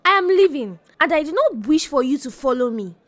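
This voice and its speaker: A woman voicing a cold, dismissive young village wife in a folktale, speaking curtly and flatly. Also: sits high, brisk. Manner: cold, flat and curt.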